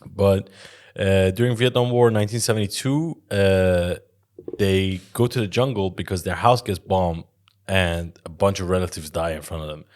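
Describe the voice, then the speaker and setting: A man with a slightly low voice tells stories on a casual podcast. He rambles and restarts, swears freely and laughs often as he talks. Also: steady tone, moderate pace, a little mellow. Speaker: podcast co-host. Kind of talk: casual chat, telling stories.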